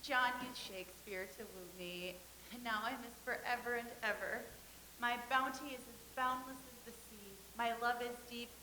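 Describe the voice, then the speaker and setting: An actress playing a dreamy young actress hoping to marry, earnest and lovestruck, in a staged play reading. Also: female voice, a little high, steady tone, moderate pace, sharp tone, reverberant, slight background noise, poor audio.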